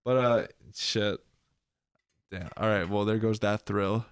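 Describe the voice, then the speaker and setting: A man's voice: fairly low pitch, fairly even intonation, takes it slowly, smooth, no echo. Rambling young man with a stuffy nose. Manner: rambling, heavy use of "like", swearing. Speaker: podcast guest, rapper. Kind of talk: casual chat.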